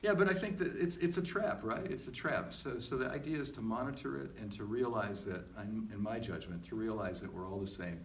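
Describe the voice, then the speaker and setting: A middle-aged man talking casually and reflectively as a podcast co-host, halting and self-deprecating. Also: fairly low pitch. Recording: echoey, distant mic with faint noise.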